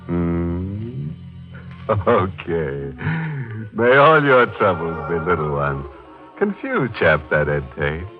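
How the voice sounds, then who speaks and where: Slow, sardonic horror host, deep-voiced. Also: male voice, very animated, lo-fi sound, full character voice. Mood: sly, mocking. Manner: sardonic, drawn-out, teasing. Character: ghoulishly playful male horror-show host, mocking and sly. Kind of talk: 1940s radio horror hosting with wry jokes. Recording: band-limited 1940s radio recording, organ music.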